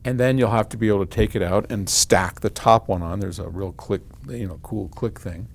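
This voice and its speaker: A man's voice: on the low side, a little fast, vocal fry. An older American man talking casually to the camera, explaining things in a frank, conversational way with folksy emphasis. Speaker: company head answering customer questions in a video series.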